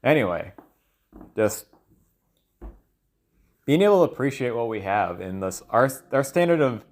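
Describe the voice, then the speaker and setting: A man's voice: medium pitch, big pitch swings, measured pace, a little mellow. A man thinking aloud in a loose solo monologue, hesitant and often trailing off as he searches for words. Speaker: solo audio log creator.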